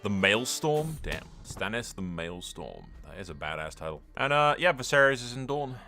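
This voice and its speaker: A man's voice: on the low side, sing-song, normal speed, gravelly, bright, forceful tone, faint music behind. A man giving casual, informal commentary on a game playthrough, with wry asides. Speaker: host of a gaming channel.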